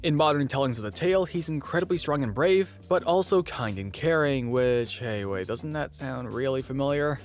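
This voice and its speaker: A man's voice: medium pitch, expressive, normal speed, few pauses, faint music behind. A man, a native Cantonese speaker, narrating a scripted video essay in a wry, self-deprecating way.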